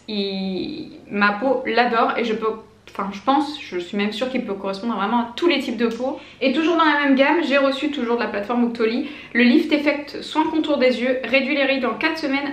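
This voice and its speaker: A woman's voice: sits high, normal speed, roomy sound. A woman in her late twenties chatting enthusiastically to camera as a beauty vlogger, with lots of fillers and restarts. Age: late 20s. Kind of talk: casual product review to camera.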